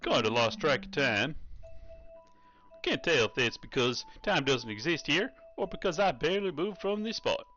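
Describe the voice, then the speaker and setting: A man's voice: on the high side, animated, moderate pace, pushed and bright, dull audio, faint music behind. A man voicing a laid-back, teasing cowboy ghost with a Southern US accent and a drawling, folksy way of talking. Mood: laid-back, candid.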